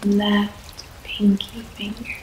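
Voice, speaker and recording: A woman leading a guided yoga nidra relaxation in a soft, soothing voice, with long pauses between short phrases. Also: medium pitch, flat delivery. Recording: echoey room, faint background noise.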